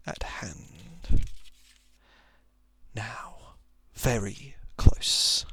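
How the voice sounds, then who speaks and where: Hushed, creaky man reading a thriller. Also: fairly low pitch, no room sound. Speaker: narrator. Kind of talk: whispered ASMR reading of a thriller short story.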